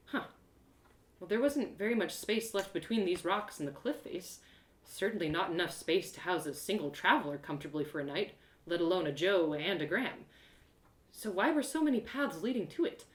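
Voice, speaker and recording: Audio drama lead: excitable young adventuress voiced by a woman, self-mocking storyteller, very animated intonation, roomy live reading. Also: mid-range voice, close to an everyday voice. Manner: theatrical, breathless exclamations, gasps, self-deprecating humour. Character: excitable young heroine narrating her woodland adventure.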